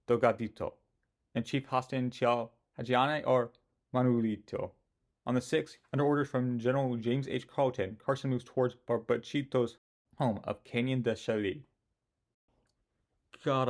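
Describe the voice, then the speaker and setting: Opinionated male history narrator. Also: medium pitch. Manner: opinionated, with self-mocking asides.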